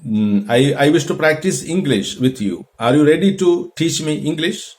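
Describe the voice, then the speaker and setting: A man from Kerala, India, with a Malayalam-influenced Indian accent, teaching English in an earnest, deliberate way and often repeating himself. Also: on the high side, expressive, a little mellow. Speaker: English tutor and group organizer. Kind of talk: spoken English lesson with an AI chatbot.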